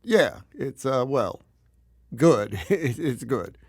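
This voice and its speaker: A man's voice: medium pitch, sing-song, slow pace, no room sound, studio quality, exaggerated voice. An actor playing a busy, affectionate father and husband who hedges and hesitates in a period audio drama. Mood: evasive.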